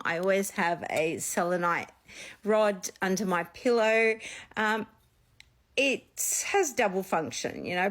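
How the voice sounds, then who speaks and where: A woman, a psychic medium, chatting casually and rambling through a personal story on her vlog, with a slight sniffle after being ill. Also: medium pitch. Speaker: psychic medium and channel host.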